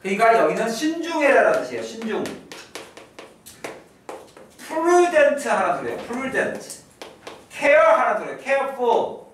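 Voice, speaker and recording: A male English teacher giving an exam prep lecture, repeating key phrases slowly and drilling his students. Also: very high-pitched, big pitch swings, heavy reverb, distant mic, slight background noise.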